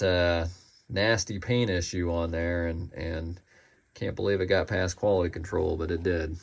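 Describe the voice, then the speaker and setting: A man with a fairly low voice and a strong vocal fry talks through a collectible in a casual review style. His delivery is informal and a little hesitant, trailing off and returning to points as he goes.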